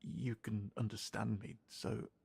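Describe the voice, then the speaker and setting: A man's voice: lowish voice, level tone, a little slow, gravelly, darkish tone, breathy edge, exaggerated voice. A British man playing a young cat townsman in an audio roleplay, informal and hesitant, guarded but friendly as he guides the listener. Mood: quietly helpful. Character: wary, helpful young male cat townsman guiding a human.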